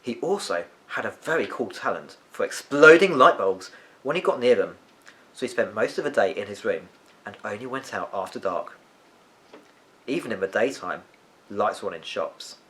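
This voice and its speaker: Animated man reading a children's story. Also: on the low side, moderate pace, long pauses. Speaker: story reader. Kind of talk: expressive children's audiobook narration.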